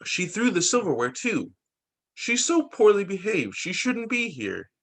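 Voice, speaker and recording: A man's voice: high-pitched, huge pitch swings, unhurried, fairly bright tone, voice pushed up, full character voice. A man voicing a disapproving, complaining relative in a children's storybook. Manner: indignant, complaining. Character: disapproving, scandalized relatives of a little girl in storybook.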